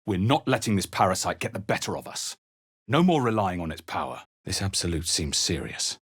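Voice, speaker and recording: A low-voiced, brooding adventurer hero for a fantasy game. He speaks in measured, solemn phrases with crisp clarity, recorded close and dry. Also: lively intonation, no room sound. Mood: resolute. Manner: measured, dramatic, solemn delivery. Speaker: video game voice actor. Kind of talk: dramatic first-person game narration and character lines.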